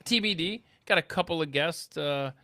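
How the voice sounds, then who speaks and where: A man's voice: on the high side, normal speed, sharp tone, dry recording, clean studio sound. A man in his fifties from the Atlanta area, hosting casual live-stream banter. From Atlanta area, United States. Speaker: talk show host.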